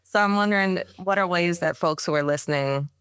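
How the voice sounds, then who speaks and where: A woman host interviews her guest in a measured, appreciative way and gives sincere praise. Her voice is unusually low and clear. The sound is dry and close to the mic. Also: fairly bright tone, dry recording. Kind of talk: interview hosting.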